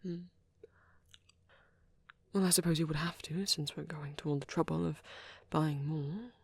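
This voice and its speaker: Woman voicing a shy, halting, low-pitched vampire boy. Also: steady tone, vocal fry, breathy edge, no room sound, exaggerated voice. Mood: shyly pleased. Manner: hesitant, halting, rambling, nervous. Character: shy, bashful, awkward young vampire boyfriend, male. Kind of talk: ASMR roleplay.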